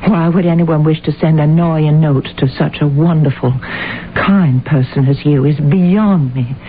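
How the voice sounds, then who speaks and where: An actress playing a devoted, inquisitive housekeeper, bustling, coaxing and polite, in old-time radio drama style. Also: female voice, sits low, fairly even intonation, normal speed, close to an everyday voice. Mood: solicitous. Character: devoted, fussy female housekeeper, inquisitive and solicitous. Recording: tinny, noisy old radio broadcast.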